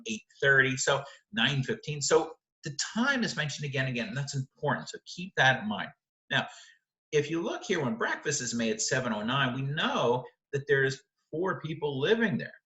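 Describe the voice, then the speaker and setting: A man's voice: medium pitch, pushed and bright. A man giving an informal explanatory literature lecture, stressing key points by repeating them and checking in with short questions. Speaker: literature teacher presenting a video lesson. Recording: roomy, echoing room sound.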